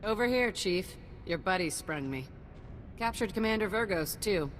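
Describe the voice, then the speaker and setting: A woman's voice: mid-range voice, animated, normal speed, long reverb tail, a little hiss or hum, close to an everyday voice. A woman voicing a hot-headed, vengeful young resistance fighter in a video game, blunt and brash. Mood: brash, resentful.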